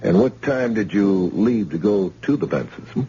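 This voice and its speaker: A man plays a pushy detective sergeant in a vintage radio play, lowish voice with a dark, mellow tone. he questions insistently, and the old recording sounds muffled with faint hiss. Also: animated, normal speed. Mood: probing. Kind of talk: old-time radio drama acting.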